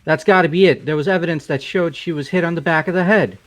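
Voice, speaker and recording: A man's voice: fairly high pitch, quick pace, faint music behind, natural voice. A man voicing a casual, blunt, sarcastic young man in a fan-voiced game. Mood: curious. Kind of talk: fan-voiced visual novel game acting.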